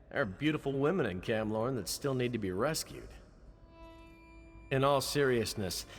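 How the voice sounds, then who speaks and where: An actor playing a cocky, roguish young guard captain in a fantasy video game, with a wry, swaggering delivery. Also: male voice, mid-range voice, big-room sound, lightly acted. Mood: wry, flippant.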